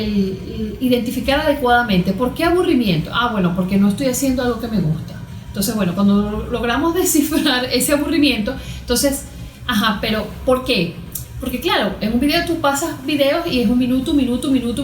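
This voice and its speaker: A woman's voice: fairly high pitch, slight background noise. A Venezuelan woman explaining things as a guest therapist in a conversational interview, often voicing little imagined examples. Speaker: guest expert, cognitive therapist. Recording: echoey, roomy sound.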